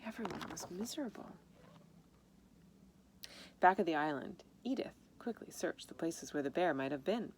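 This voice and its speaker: A woman reading a children's story aloud in an expressive manner, with informal asides about the pictures. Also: lowish voice, moderate pace, pauses often. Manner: expressive, acts out dialogue. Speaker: storybook reader. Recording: noticeable background noise.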